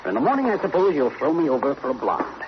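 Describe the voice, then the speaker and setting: A man's voice: fairly high pitch, big pitch swings, quick pace. An actor playing a wry, wary, wisecracking man in an old-time radio crime drama, dry and sardonic in delivery. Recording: noisy muffled old radio drama recording.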